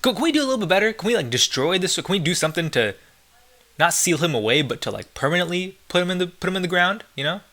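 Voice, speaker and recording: A man's voice: high-pitched, very animated, quick pace, bright, forceful tone. A man doing casual, sweary gameplay commentary as a let's player.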